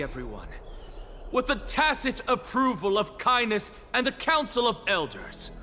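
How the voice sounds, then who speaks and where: A grandiose, defiant scholar. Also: male voice, sits high, heavily acted. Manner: grandiose, declamatory lecturing. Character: arrogant male scholar, theatrical, defiant. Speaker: game character. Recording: game cutscene with loud music and long reverb.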